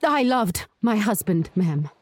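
An actress in a 1940s radio drama playing a meek wife on the witness stand who halts and protests. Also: female voice, mid-range voice, big pitch swings, a little mellow, no room sound. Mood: pleading. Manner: halting, protesting denials.

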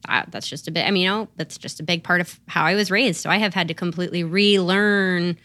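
A woman's voice: fairly low pitch, steady tone, fairly quick, sharp tone. A woman from the South, speaking candidly and reflectively in a casual podcast chat. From American South.

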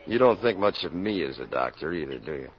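An actor playing an earnest, insistent government doctor in a 1950s radio drama, firm and persistent. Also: male voice, rumbling low, sing-song, a little fast. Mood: quiet, probing. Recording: dull vintage 1950s radio recording.

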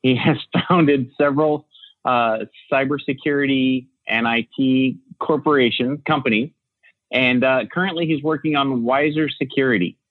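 A man's voice: mid-range voice, normal speed, no room sound. A man speaking conversationally as a co-host, introducing a guest over a call. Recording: dry, phone-like narrow call audio.

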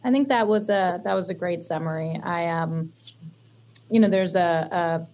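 A woman with a Californian accent on a panel, speaking in a measured way with frequent fillers. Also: fairly low pitch, darkish tone. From western United States. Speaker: investor panelist. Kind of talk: panel discussion.

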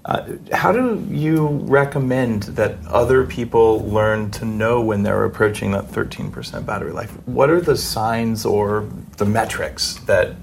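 Low creaky man, casual podcast interviewer. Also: measured pace, hardly any pauses, slight background noise.

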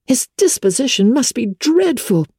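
A woman voicing an indignant, easily shocked young gentlewoman in a dramatic storybook reading, earnest and exclamatory. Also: a little high, big pitch swings, moderate pace, husky, dry recording, studio quality, pitched above the performer's own voice, exaggerated voice. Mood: appalled, earnest.

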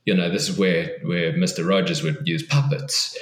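Interview guest: a lower-voiced man, earnest and reflective, who restarts his sentences, recorded in an echoey room. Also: moderate pace. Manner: thoughtful, earnest, frequent restarts and repetitions. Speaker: guest author. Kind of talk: podcast interview.

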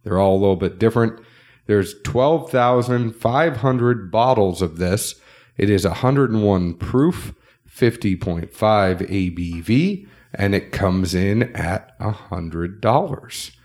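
A man's voice: on the low side, animated, takes it slowly, darkish tone. A man with a nasal voice co-hosting a casual podcast, chatting loosely and running through sponsor plugs. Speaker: podcast co-host.

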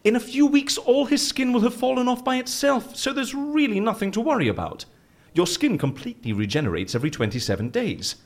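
An actor playing a flustered, evasive and mischievous boss in a comedy audio drama, stammering and wheedling excitably. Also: male voice, extremely high pitch, brisk, exaggerated voice. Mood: breezy, reassuring. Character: flustered, evasive, mischievous male boss hiding his blunders.

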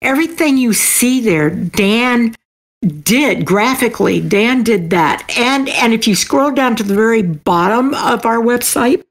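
A woman's voice: on the high side, animated, unhurried. A middle-aged woman speaking casually as an author being interviewed.

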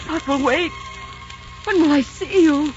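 A woman's voice: high voice, a little slow. A woman playing a devoted, proud and sorrowful queen in a 1940s radio romance, with theatrical, earnest, formal phrasing. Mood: pleading. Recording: muffled 1940s radio recording with music.

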